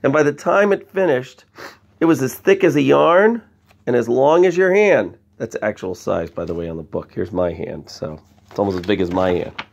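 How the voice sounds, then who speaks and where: Creaky-voiced man reading a bedtime story. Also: mid-range voice, moderate pace. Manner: expressive, addresses young listeners with questions. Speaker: storyteller reading a picture book aloud to children. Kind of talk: bedtime story read-aloud with conversational asides.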